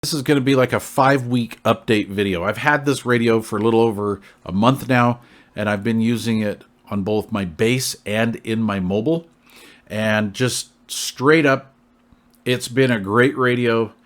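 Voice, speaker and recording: A middle-aged man speaks at an average pitch. He sounds like a hobbyist walking someone through a product review, casual and conversational. His wording is plain and reassuring. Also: steady tone, moderate pace.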